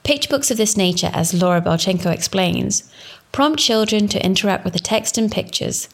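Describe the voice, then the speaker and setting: A woman in her thirties from England, giving a scripted, formal narration for a teaching presentation in a measured way. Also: mid-range voice, lively intonation, creaky. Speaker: education student presenting.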